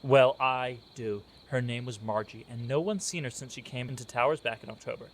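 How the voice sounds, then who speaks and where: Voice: mid-range voice, brisk, natural voice. An amateur radio play performer voicing an insistent, spooked college student who believes in ghosts, over cricket noise. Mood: ominous. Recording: noisy recording with chirping crickets.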